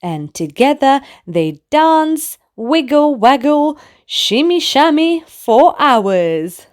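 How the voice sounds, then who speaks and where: A woman narrating a children's bedtime story in an expressive way, speaking to small children. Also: high voice. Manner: animated, slow, clearly addressed to small children. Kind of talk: expressive read-aloud bedtime story for toddlers.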